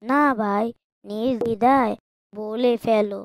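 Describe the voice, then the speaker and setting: Woman voicing a slow, timid schoolboy. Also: a little high, fairly even intonation, dry recording. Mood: polite, receptive. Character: timid, remorseful schoolboy who failed exams, Sadik. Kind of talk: scripted Islamic cartoon dialogue.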